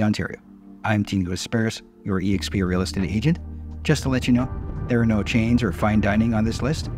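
A man's voice: mid-range voice, darkish tone. A man giving scripted, promotional narration as the host of an online video, in an upbeat presenter's manner. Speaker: real estate agent and video host. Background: background music.

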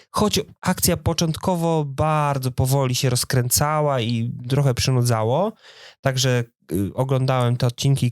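A man's voice: mid-range voice, darkish tone, no echo. Man in his thirties, dry close recording. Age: 30s. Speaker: podcast co-host. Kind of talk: casual unscripted chat, explaining and recommending.

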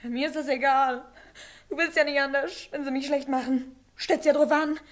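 Snappish, resentful wife with a higher voice, acted by a woman. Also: fairly even intonation, moderate pace, natural voice. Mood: irritated, defensive. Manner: curt, scornful, snappish. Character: hard, resentful wife, former maid, cold to her child. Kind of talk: 1960s German radio drama acting.

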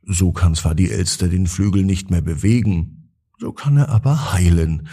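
A man narrating a scripted bedtime story for children in a gentle, drawn-out, expressive way. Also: low-pitched, breathy edge.

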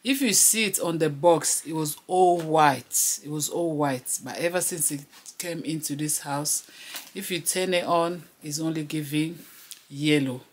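A woman's voice: deep voice, a little slow. A woman in her thirties, originally from DR Congo, chatting casually to camera in a vlog from a small room at home, often repeating her phrases and laughing between lines. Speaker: vlogger. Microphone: camera close to the face.

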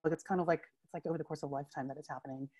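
A woman's voice: deep voice, fairly even intonation, racing, darkish tone. Chatty woman on earbud mic. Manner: chatty, laughs often, many fillers and restarts. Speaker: interview guest, writer. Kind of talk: casual video call conversation. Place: home room with bookshelves. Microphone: wired earbuds on a video call.

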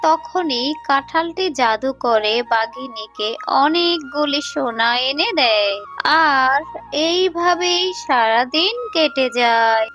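High, bright woman storyteller. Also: expressive, takes it slowly. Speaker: narrator. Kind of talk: scripted children's story narration. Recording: music behind the voice.

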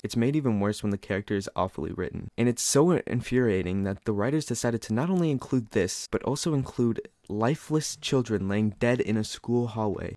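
A man's voice: fairly low pitch, darkish tone, no echo, clean studio sound. A man giving a scripted, sarcastic movie review, talking in an exasperated, critical way.

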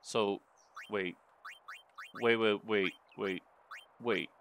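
A man giving casual, crude and excitable commentary as he hosts a video game playthrough. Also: fairly low pitch, very slow. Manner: crude, sweary, excitable reactions. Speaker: let's play host. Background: video game audio.

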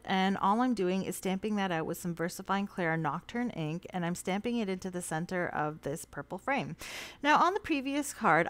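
A woman narrating her cardmaking tutorial in a relaxed, chatty way with small fillers. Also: mid-range voice, dry recording. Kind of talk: voice-over craft tutorial narration.

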